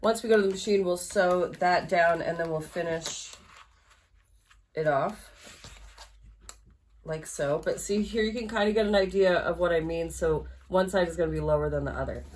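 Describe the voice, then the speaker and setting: A woman's voice: fairly low pitch, moderate pace, pauses often, slight background noise. A woman teaching a sewing project in a casual, chatty way, a little congested and nasal from allergies, with frequent fillers and restarts. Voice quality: slightly nasal. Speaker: sewing instructor. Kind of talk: casual sewalong tutorial.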